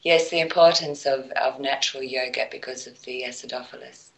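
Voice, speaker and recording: A woman's voice: very deep voice, fairly even intonation, fairly quick, creaky, low-quality recording. A woman speaking as a guest in a casual radio chat. She makes her points emphatically, then trails off into near whispers. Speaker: show guest.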